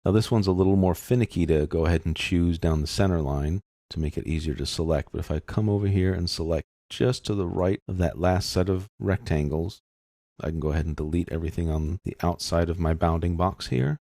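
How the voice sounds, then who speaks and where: Guy doing a casual screen tutorial, really low gravelly voice, explains as he goes. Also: brisk, warm tone, dry recording, clean studio sound. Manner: explanatory, informal, thinking aloud. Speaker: software tutorial instructor.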